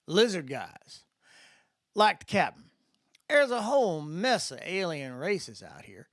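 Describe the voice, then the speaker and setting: A man's voice: sits high, sing-song, slow pace, fairly bright tone, no echo, clean studio sound, pitched above the performer's own voice, heavily acted. A man voicing an easygoing good old boy from Mississippi, a spacefaring mentor with a folksy Southern drawl, in a science fiction audiobook. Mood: folksy, explaining.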